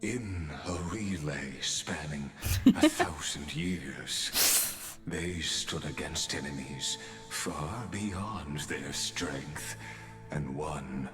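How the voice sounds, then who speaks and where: A man's voice: a bass voice, echoey room, exaggerated voice. A man narrating a fantasy game's story in a solemn, scripted way, as a grave epic narrator. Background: game score music.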